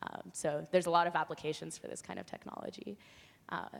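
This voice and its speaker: A young woman from Argentina explains her physics research earnestly, with a slightly low voice and small fillers. She speaks from the stage of a large hall, and long reverb trails each phrase. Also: sing-song, fairly quick, creaky. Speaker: PhD student speaker. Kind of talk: prepared talk at an awards event.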